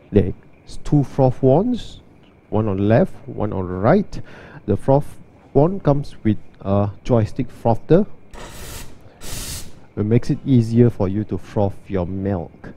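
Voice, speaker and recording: A man, giving a steady, step-by-step walkthrough and demo of an espresso machine. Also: lowish voice, gravelly, slight background noise. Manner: steady, step-by-step instructional delivery. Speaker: barista demonstrating an espresso machine.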